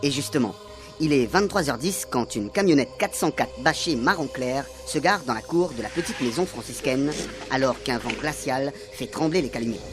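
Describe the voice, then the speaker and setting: A man's voice: mid-range voice, animated, normal speed. Man narrating mock-dramatic radio serial over music. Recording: music under the voice.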